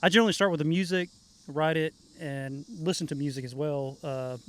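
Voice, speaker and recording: A man in a band explaining his songwriting process in a thoughtful, measured way. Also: fairly high pitch, fairly even intonation, a bit bright, smooth. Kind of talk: casual chat.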